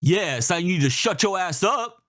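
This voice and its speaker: A man's voice: high-pitched, animated, normal speed, a bit bright, no echo, clean studio sound, pitched above the performer's own voice. A man voicing a cold, commanding young quarterback who barks curt orders in a storybook horror reading.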